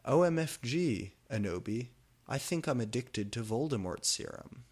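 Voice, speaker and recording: A man's voice: mid-range voice, animated, measured pace, breathy edge. A man voicing a melodramatic, foul-mouthed teenage goth girl from a fan fiction story, exclamatory and overwrought. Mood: exclaiming.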